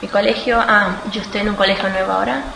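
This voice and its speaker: An eighteen-year-old woman from Australia answers interview questions informally, haltingly searching for words and correcting herself. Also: mid-range voice, steady tone. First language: Spanish. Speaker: student being interviewed. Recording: echoey room with clear background noise.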